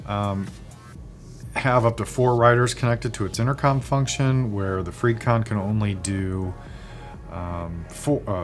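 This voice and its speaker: A man giving a casual, unscripted product review, speaking in a measured way with thoughtful, hesitant phrasing. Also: lowish voice. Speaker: product reviewer, video host.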